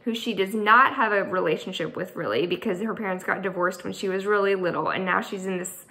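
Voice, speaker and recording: A woman author reading her middle grade novel aloud, with an expressive storytelling delivery. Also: mid-range voice, a little fast. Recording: echoey room.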